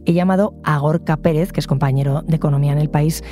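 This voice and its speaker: A woman hosting a news podcast, reading a measured, clear script over a music bed. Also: low voice, fairly even intonation.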